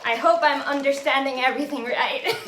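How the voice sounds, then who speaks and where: A woman's voice: high voice, moderate pace, fairly bright tone, echoey room, soft background music, rough recording. A young woman from the Philippines, a native speaker of Tagalog and English, chatting casually and thinking aloud as she vlogs at home, with frequent little laughs. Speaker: beauty vlogger. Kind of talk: casual vlog narration.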